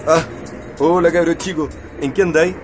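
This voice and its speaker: A man's voice: fairly high pitch, steady tone, normal speed, music in the background, natural voice. A man playing a laid-back, slightly bossy Chilean university student in an educational radio drama, talking in slang.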